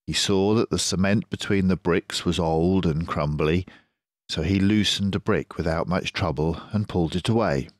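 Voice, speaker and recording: An older man narrating a children's story aloud as a storyteller, in a measured, expressive manner. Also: sits low, no room sound, studio quality.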